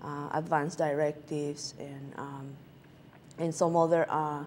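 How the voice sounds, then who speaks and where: A woman from Peru, answering interview questions as a guest physician in a halting way with many filler sounds. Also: low voice, level tone, darkish tone, a little hiss or hum.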